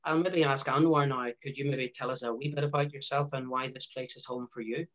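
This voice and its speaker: A man's voice: mid-range voice, fairly even intonation, a little fast, fairly bright tone. Man chairing a panel. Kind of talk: moderating an online panel. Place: home study, indoors. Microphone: headset on a video call.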